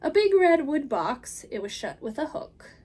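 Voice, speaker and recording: A woman reading a children's storybook aloud as narrator, with expressive, rhythmic and emphatic delivery. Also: high-pitched, unhurried.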